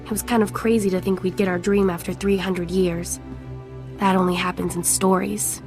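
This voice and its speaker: A woman's voice: fairly low pitch, little pitch movement, normal speed, over music, natural voice. An actress playing an earnest, anxious woman settler in a video game, speaking in a worried, conciliatory way. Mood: resigned. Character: earnest, anxious young woman settler, her brother's apologetic sister. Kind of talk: dramatic scripted video game dialogue.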